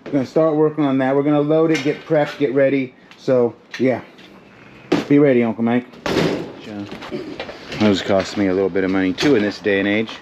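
A man's voice: mid-range voice, normal speed, long pauses, highs cut off. A middle-aged man giving casual, joking running commentary to camera while digging through a storage container. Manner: chatty, joking, teasing. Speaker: storage unit reseller and vlogger. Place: outdoors at a shipping container in a storage lot. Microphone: action camera worn on the body. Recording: noisy outdoor camera audio.

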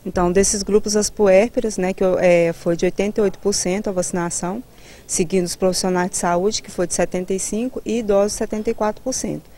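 A woman in her thirties with a Brazilian Portuguese accent explains things as a health official in an interview. Her voice is dark and soft-edged, at a middling pitch. She speaks haltingly, dropping in tag words, into a handheld mic outdoors. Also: steady tone, a little hiss or hum. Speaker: public health immunization director. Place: outdoors in a building's garden. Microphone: handheld reporter microphone held toward her.

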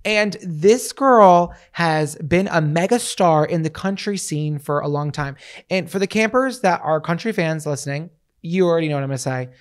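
A man in his thirties with a raspy voice talks into a handheld mic in a small home studio. He chats eagerly and at length about a favorite record, like a co-host running a casual podcast segment. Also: fairly high pitch, moderate pace. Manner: chatty and enthusiastic, gushes about music. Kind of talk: casual chat. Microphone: handheld microphone.

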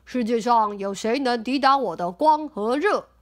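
A woman reading aloud as a boastful, proud sun in a storybook, speaking loudly. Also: fairly high pitch, expressive, moderate pace, lightly acted. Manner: boastful, loud.

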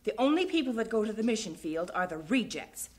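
A stern middle-aged mother who scolds in a cutting tone. Her voice sits at a middling pitch. It is a studio radio drama. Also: sing-song, a little fast, darkish tone. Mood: scolding.

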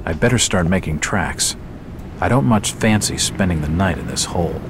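A man playing a weary, detached detective in a video game, with a deadpan, unexcited delivery. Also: sits low, normal speed. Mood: flat, observing. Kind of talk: video game narration and dialogue. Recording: noisy game ambience behind.

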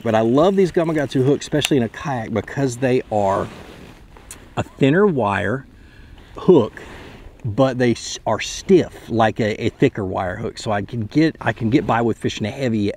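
A man's voice: mid-range voice, darkish tone. Man, thirties, casual fishing tutorial, outdoors on a lake, faint wind noise. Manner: chatty, explanatory, with restarts and hesitations. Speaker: fishing channel host. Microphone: camera mounted close in front.

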